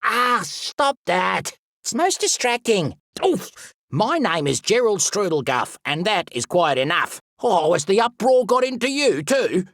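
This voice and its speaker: A man playing a fussy, excitable and pompous explorer and wildlife expert in an animated video game, speaking theatrically with whimsical made-up words. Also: sits high, measured pace, no echo, clean studio sound, heavily acted. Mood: indignant, flustered. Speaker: voice actor.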